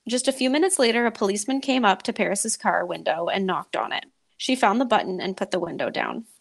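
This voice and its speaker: A woman's voice: medium pitch, expressive, brisk, gravelly. A woman podcast host, talking and reading a story aloud in a dramatic way.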